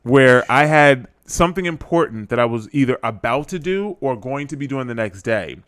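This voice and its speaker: A man talks through a point on a casual podcast, circling back and restarting sentences as he goes. His mid-range voice moves freely up and down in pitch. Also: expressive, normal speed, fairly bright tone, smooth, no room sound, clean studio sound. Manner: explains at length, restarts sentences. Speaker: podcast co-host.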